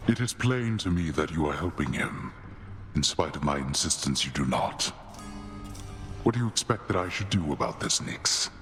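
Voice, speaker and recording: An actor playing a stern, imperious old god of the dead in a video game, formal and commanding. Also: male voice, very deep voice, animated, moderate pace, a bit bright, soft background music. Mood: stern, accusing.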